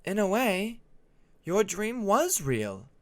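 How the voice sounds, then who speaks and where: A man voicing a calm, blunt female dragon who explains things slowly and deliberately in a fantasy audiobook. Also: high-pitched, no echo, pitched above the performer's own voice, full character voice. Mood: calm, grave. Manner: deliberate, explanatory, drawn-out delivery.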